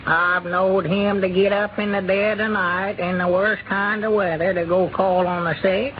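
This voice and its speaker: Agreeable old farmer, dull radio sound. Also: male voice, high voice, flat delivery, measured pace. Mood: earnest. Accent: rural Southern US, Arkansas. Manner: folksy, agreeable, repeats himself. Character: good-hearted, agreeable old country farmer man. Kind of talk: 1930s radio drama acting. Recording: noisy, dull 1930s radio recording.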